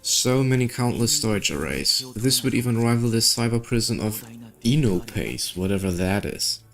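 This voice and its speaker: A man's voice: mid-range voice, normal speed, creaky. A man who streams games, reading story dialogue aloud and commenting casually with offhand, skeptical asides. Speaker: gaming streamer. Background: game music.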